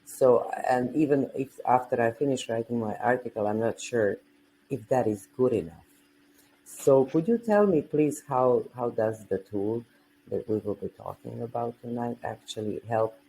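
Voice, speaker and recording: A host leading a panel discussion, who pauses and searches for words. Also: mid-range voice, warm tone, slight background noise, rough recording.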